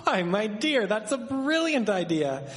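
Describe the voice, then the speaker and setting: A man's voice: sits high, big pitch swings, measured pace, a bit bright, heavily acted. A man playing a courteous, folksy, old-fashioned husband of the 1870s in a live radio drama. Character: courteous, patronizing husband, townsman in 1873. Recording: echoey hall, live stage recording.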